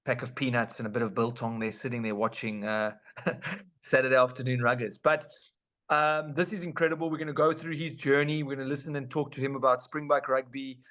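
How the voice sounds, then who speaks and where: A man's voice: medium pitch, steady tone, a little fast, a bit bright. A man, co-hosting a podcast in hyped, slangy casual chat. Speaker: podcast co-host.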